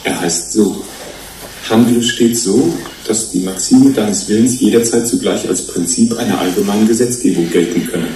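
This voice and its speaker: Man as low, mellow, philosophical fish-man. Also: natural voice. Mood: firm, lecturing. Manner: measured, probing, pedantic. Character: thoughtful, skeptical male human-fish hybrid, quietly philosophical. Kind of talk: German radio play acting. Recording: roomy sound with faint noise.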